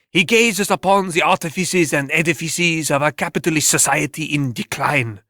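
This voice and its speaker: A man's voice: on the high side, a bit bright, no echo, clean studio sound. A man voicing a pretentious, effusive art critic in a dramatic reading, pompous and grandiloquent.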